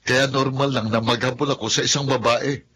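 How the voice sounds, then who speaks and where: A proud young man in a radio play, as a man plays him, with a mid-pitched voice. He talks bluntly, cutting and dismissive in his replies. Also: steady tone, fairly quick, fairly bright tone, slight background noise, close to an everyday voice.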